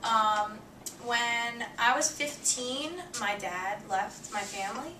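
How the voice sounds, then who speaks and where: A woman's voice: a little high, takes it slowly, pushed and bright. A twenty-year-old woman from New Jersey, a student giving a casual personal introduction to her class, rambling and leaning heavily on "like". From New Jersey, USA. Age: 20s. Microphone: far camera. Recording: echoey classroom from far off with noise.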